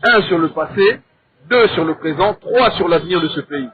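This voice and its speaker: Congolese guy, high voice, teacherly debate talk in short careful phrases, tinny narrow audio. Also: expressive. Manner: measured, didactic, speaks in short deliberate phrases. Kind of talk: conversational debate with rhetorical questions. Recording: thin, phone-like narrow sound.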